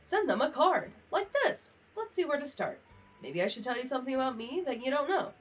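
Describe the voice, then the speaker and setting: A woman's voice: high-pitched, sing-song, a little fast, faint music behind. Candid, sweary woman streamer, dull distant mic. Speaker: livestream host. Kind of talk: casual gaming livestream chat, reading in-game letters aloud. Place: home office.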